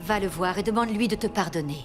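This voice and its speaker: An actress playing a calm, reasoning woman who counsels earnestly in a biblical cartoon dub. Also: mid-range voice, fast talker, soft background music, close to an everyday voice. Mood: earnest, consoling. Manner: reassuring, earnest. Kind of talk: dubbed biblical cartoon acting.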